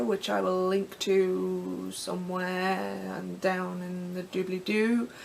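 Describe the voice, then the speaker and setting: A young Englishwoman with a croaky throat, rambling casually through vlog questions from her bedroom with plenty of ums and restarts. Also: medium pitch, a little hiss or hum. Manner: chatty, self-deprecating, many ums and restarts. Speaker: pagan vlogger. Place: bedroom in front of her altar.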